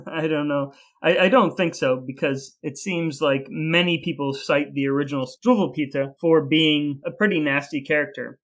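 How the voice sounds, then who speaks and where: Wry, higher-voiced man hosting a podcast. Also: fairly even intonation, normal speed, a bit bright. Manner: wry, playfully sarcastic. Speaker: podcast host. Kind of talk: casual storytelling talk.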